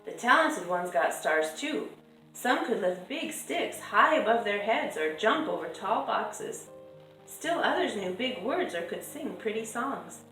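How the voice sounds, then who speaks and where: A woman reading a children's picture book aloud in a measured, lilting storytelling way, drawing out stressed words. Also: mid-range voice, sing-song, a bit bright. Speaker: storybook reader. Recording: echoey room with music behind. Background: music.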